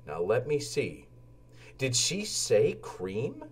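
A man's voice: medium pitch, wildly swooping pitch, slow, breathy edge, full character voice. A man voicing an earnest young boy cat in a children's storybook, polite and eager to help. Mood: unsure, wondering.

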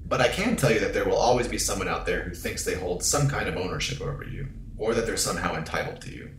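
A man playing a caring, measured artificial companion aboard a spaceship in a science fiction audio drama. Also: low voice, expressive, fairly bright tone. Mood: reassuring. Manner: measured, reassuring. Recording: echoing, distant, faintly noisy.

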